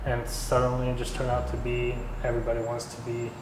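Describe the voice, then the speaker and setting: A man from Hungary who runs a car shop, talking casually in an interview with halting, filler-heavy speech. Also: mid-range voice, completely flat delivery, echoey room, recorded from a distance, background noise. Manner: halting, many fillers and restarts. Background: music.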